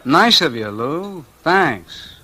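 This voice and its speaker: A man's voice: a little high, sing-song, extremely slow, bright, forceful tone, slight background noise, full character voice. A man playing a weary, doomed man on the run who tells his own story in a wry, terse 1940s radio noir style. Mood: uneasy. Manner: wry, terse, hard-boiled. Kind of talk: 1940s radio noir acting, first-person narration and dialogue. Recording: vintage radio recording with music underneath.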